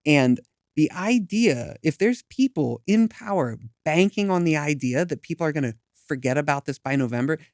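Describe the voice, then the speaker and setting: Guy in his 30s, activist guest, earnest and emphatic, a bit high, dry sound. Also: lively intonation, normal speed, darkish tone, no echo. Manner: earnest, emphatic, some stumbles. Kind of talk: impassioned interview talk.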